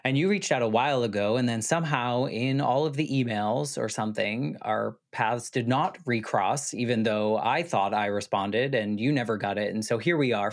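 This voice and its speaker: An American man hosting a podcast, asking curious, chatty questions with lots of fillers. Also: lowish voice. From United States. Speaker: podcast host. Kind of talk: casual interview conversation.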